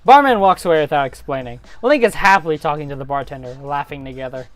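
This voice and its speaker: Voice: mid-range voice, fast, soft background music. A narrator giving a dramatic reading of a comic fantasy story, sometimes stumbling and rereading phrases.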